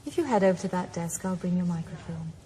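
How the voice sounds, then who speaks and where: A woman playing a married librarian, speaking in a crisp, matter-of-fact way. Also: lowish voice, animated, quick pace, close to an everyday voice. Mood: brisk. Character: married female librarian, brisk and pert. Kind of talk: 1980s radio drama acting. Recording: noisy location recording.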